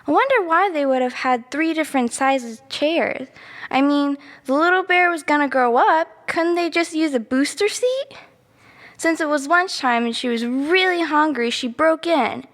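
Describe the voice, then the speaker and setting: A woman's voice: high-pitched, animated, moderate pace, fairly bright tone. A woman playing a chatty, easily sidetracked little girl who rambles through a bedtime story with naive asides and self-corrections. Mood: rambling, puzzled. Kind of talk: children's storybook monologue. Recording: long reverberant room echo.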